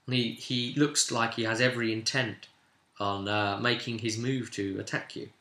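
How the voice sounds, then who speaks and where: A man's voice: mid-range voice, fairly even intonation, moderate pace, pushed and bright. A man casually retelling a tabletop role-play session as game master, rambling and informal, slipping into bits of dialogue. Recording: echoey room.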